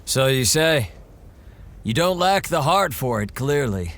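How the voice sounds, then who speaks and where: A man plays a seasoned monster hunter for an audio drama. He sounds calm, wry and confident, with a lively, expressive pitch. His delivery is dry and unhurried.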